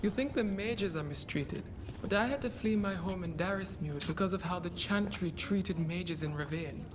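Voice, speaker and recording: Actress as a low-voiced, aggrieved refugee mage woman. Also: moderate pace, background noise, close to an everyday voice. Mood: grave, resolute. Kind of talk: dramatic video game dialogue. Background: game music.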